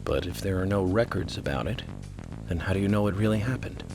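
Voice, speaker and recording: An actor playing a cool, skeptical FBI investigator in a video game, speaking in a measured, terse way. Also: male voice, low voice, music in the background. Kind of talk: dramatic video game cutscene acting.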